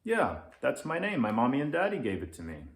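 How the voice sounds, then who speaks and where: Man playing a curious little boy, lively pitch. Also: brisk. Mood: matter-of-fact. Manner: naive, questioning. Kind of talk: children's storybook character voice. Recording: roomy echo.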